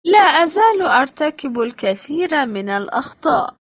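Woman, high lively voice, slow clear phrasebook reading, dry close sound. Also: dry recording. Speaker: phrasebook reader.

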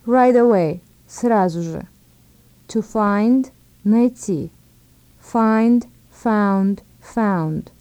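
A woman presents a scripted language lesson at an unhurried pace. Her tone is mellow and relaxed, and she sets out each word and phrase separately, often repeating it. The sound is dry and close, with no room around it. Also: mid-range voice, no echo. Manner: measured, word-by-word delivery with repetitions. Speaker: language instructor.

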